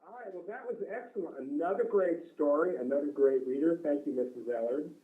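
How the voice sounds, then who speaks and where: A man's voice: on the high side, fairly quick, phone-quality sound. A man hosting a live online story broadcast for children, addressing the young listeners directly.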